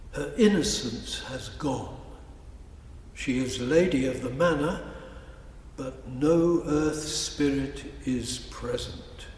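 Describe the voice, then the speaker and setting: A man's voice: fairly high pitch, a little mellow, slight background noise. A man delivering solemn scripted narration with grave, declamatory phrasing. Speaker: narrator in a cantata. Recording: echoey hall, distant mic, music.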